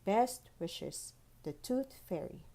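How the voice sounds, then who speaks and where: A woman's voice: medium pitch, expressive, takes it slowly, dark tone. A woman reading the letters of a courteous, formal tooth fairy in a proper storybook manner. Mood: earnest pleading.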